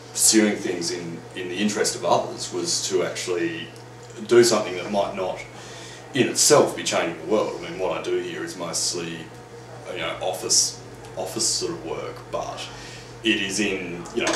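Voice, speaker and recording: Man, deep voice, halting reflective interview, distant echoey recording. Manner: halting, searching for words, hedging. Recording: echoey, distant mic, noisy background.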